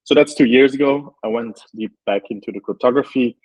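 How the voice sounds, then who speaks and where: Husky-voiced Belgian-raised founder, thoughtful. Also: male voice, medium pitch, brisk, a little mellow, dry recording, low-quality recording. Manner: thoughtful, many ums and likes. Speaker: startup founder. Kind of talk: interview answers.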